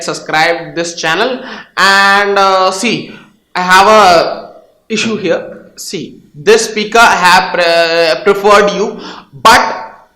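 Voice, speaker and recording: Man, Indian English accent, bright tense voice, echoey room, hesitant casual explainer. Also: high-pitched. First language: Hindi or Tamil. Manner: hesitant, many fillers and restarts. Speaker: tech channel host.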